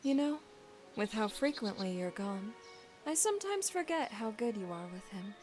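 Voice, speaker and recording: A woman playing an affectionate, gently firm wife and mother in an audio drama, with a tender, chiding manner. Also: mid-range voice, sing-song, normal speed, faint music behind, lightly acted. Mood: flirtatious, fond.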